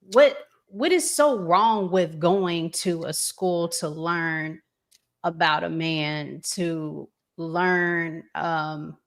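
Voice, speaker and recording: A woman hosting a casual discussion, deliberate, pausing mid-thought and posing rhetorical questions. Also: fairly low pitch, fairly even intonation. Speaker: talk show host.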